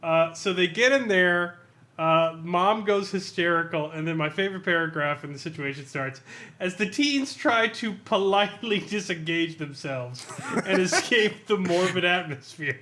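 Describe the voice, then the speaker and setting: A man co-hosting a podcast, giving an animated, sweary comedic review of a role-playing adventure, reading bits out and laughing often. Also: high voice. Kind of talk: casual comedic review with read-out excerpts.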